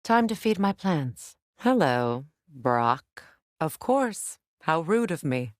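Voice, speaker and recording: An actress voicing a proud, guarded warrior goddess and witch in a video game, with measured, formal and earnest phrasing. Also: sits low, big pitch swings, no echo, clean studio sound, full character voice. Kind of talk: video game character dialogue.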